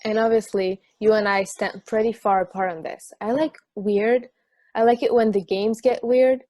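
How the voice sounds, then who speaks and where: A man's voice: sits high, fairly even intonation, moderate pace, a little mellow, dry recording, natural voice. Man acting easygoing football fan, lover of weirdness, conversational script reading, very dry sound. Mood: teasing, argumentative. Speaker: amateur actor in a remote table read.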